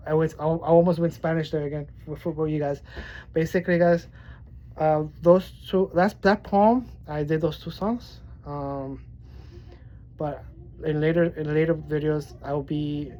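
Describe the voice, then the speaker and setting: A man from Miami with a mild speech impediment, talking casually to his viewers. He rambles, restarts his sentences and leans on fillers. His voice is soft and mellow, with a faint hiss behind it. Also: on the high side, rough recording. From Miami, Florida. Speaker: poetry vlogger. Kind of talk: casual vlog talk and poetry reading.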